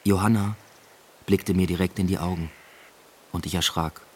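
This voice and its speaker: A brooding father telling his own story in a radio drama. His voice sits low and has a husky grain, with a reflective, literary delivery. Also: fairly even intonation, normal speed, darkish tone. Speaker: narrator and lead character. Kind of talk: literary first-person radio drama narration.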